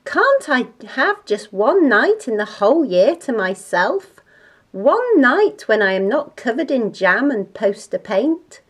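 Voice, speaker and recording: A woman's voice: a little high, sing-song, slow, husky, voice pushed up, heavily acted. A woman voicing a harried, exasperated mother elephant in a bossy, emphatic way, for a children's storybook.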